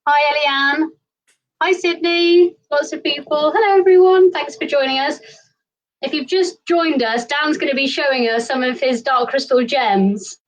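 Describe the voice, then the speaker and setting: A woman's voice: high-pitched, moderate pace, rough recording. A woman hosting a live stream over a video call, upbeat and greeting viewers. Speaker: host.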